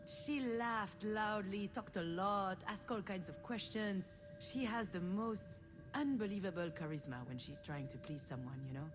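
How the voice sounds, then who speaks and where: A woman's voice: medium pitch, a little slow, natural voice. A woman playing a self-deprecating, wistful adult daughter, narrating her family memories in a reflective, conversational first-person voice for a visual novel. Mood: confiding, uneasy. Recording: prominent music under the voice. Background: loud music.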